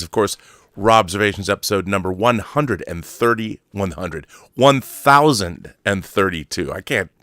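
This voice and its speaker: A man's voice: on the low side, sharp tone, no room sound, studio quality. A man hosting a solo podcast, talking casually and reading aloud with a theatrical, wordy showman's manner. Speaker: podcast host.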